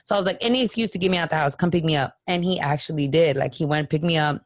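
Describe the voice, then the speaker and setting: A raspy-voiced woman in her late twenties, a podcast guest, telling a story casually, acting out quotes and swearing freely. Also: deep voice, racing, dry recording.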